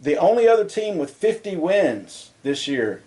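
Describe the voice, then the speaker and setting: A man's voice: high voice, big pitch swings, unhurried, warm tone. Argumentative man on a sports show. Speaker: co-host. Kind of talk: casual sports chat.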